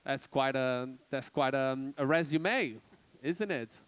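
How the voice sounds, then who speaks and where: A man interviewing a comedy director at a festival, asking questions in a halting way and repeating words. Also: medium pitch, a bit bright, clean tone. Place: on stage at a festival event.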